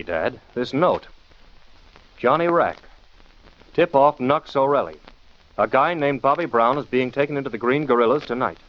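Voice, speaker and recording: An actor playing a confident, cool amateur detective in 1940s radio style, assured and commanding. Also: male voice, medium pitch, close to an everyday voice. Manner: taunting, assured, crisply commanding. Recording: muffled, noisy 1940s radio recording.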